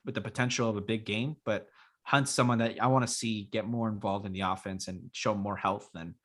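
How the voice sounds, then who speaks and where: A young man co-hosting a casual fantasy football show, his voice raspy from being sick, talking in a relaxed, rambling way with many fillers. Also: lowish voice, a little fast. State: raspy after illness. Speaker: podcast co-host. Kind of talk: casual sports chat.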